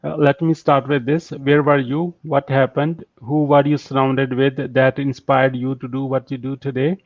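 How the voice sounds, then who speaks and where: A man's voice: mid-range voice, flat delivery, moderate pace, dry recording, highs cut off. A man hosting an interview, asking brief, direct questions. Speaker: interview host.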